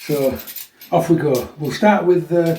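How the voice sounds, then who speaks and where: A man's voice: on the high side, a little slow, warm tone, a little hiss or hum. A middle-aged man chatting casually and bluntly to viewers as a fitness vlogger, a little chesty from a recent infection and often tagging sentences with "yeah?". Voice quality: slightly chesty. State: getting over a chest infection. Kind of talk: casual chat to viewers introducing a workout. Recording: echoey room, mic at a distance.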